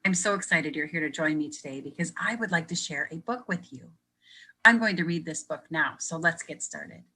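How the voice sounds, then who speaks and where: A woman reads a children's picture book aloud in a low, slightly husky voice with a bright edge. She reads expressively and gently, stopping to ask young listeners questions. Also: lively intonation, a little fast. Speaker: educator reading aloud to children. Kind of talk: children's read-aloud with teacher commentary.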